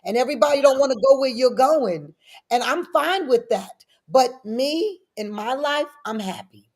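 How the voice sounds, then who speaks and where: A middle-aged woman giving animated, personal answers as an interview guest, speaking emphatically and repeating phrases to drive them home. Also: high-pitched, moderate pace. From born in Frankfurt, Germany; lived in New York City. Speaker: guest, daycare business owner.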